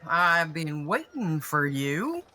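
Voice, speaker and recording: Blunt, slow-talking trading-post boss. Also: female voice, low-pitched, big pitch swings, pushed and bright, heavily acted. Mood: angry, menacing. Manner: blunt, dry, deliberate. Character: tough, curt trading-post woman boss, no-nonsense and menacing. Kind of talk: dramatic audio fiction acting.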